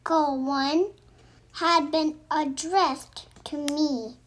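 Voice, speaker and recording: A female reader telling children's verse in an animated, expressive, sing-song storytelling style. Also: sits high, extremely slow. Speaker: children's book narrator.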